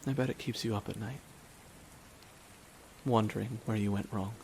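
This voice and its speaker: A man playing a bitter, weary supervillain in a dramatic ASMR audio roleplay, speaking deliberately with long pauses to a dying nemesis. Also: lowish voice, natural voice. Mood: wounded, bitter. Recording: steady rain noise behind the voice. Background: rain falling on surfaces.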